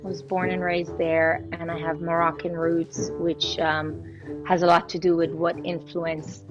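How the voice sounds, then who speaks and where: Low-voiced woman from Israel, warm and proud. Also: completely flat delivery, measured pace, lo-fi sound, over music. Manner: warm, proud, talks in long flowing answers with fillers. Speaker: guest singer. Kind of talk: casual interview chat.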